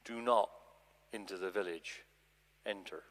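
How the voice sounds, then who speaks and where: A man's voice: low-pitched, a little slow, a bit bright, voice pushed down. A man performs a commanding male teacher from scripture, exasperated and emphatic. The phrases are declaimed and drawn out, echoing through a long church reverb. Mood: calm, commanding.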